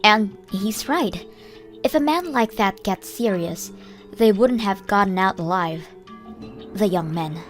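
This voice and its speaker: A woman's voice: mid-range voice, expressive, slow. Woman narrating a story over music. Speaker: narrator and voice actor in a manga dub. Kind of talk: scripted first-person story narration. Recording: music bed under the voice. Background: background music.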